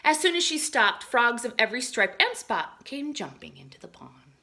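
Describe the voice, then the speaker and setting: A woman reading a picture book aloud to young children in an expressive way, adding chatty explanations and questions for her listeners. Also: a little high, moderate pace. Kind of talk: animated read-aloud with chatty asides and questions.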